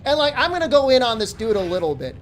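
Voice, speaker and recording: Animated man in his forties streaming. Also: very high voice, quick pace, a little hiss or hum. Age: 40s. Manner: profane, joking rants at chat. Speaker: game streamer. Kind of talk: live-stream chat while gaming.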